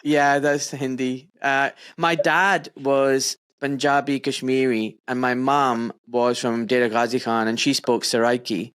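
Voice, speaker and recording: A man's voice: mid-range voice, level tone, unhurried, few pauses, a bit bright, smooth. A man hosting a podcast over a remote call, chatting casually and animatedly with friendly slang and laughter. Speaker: podcast host. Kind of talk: casual interview chat.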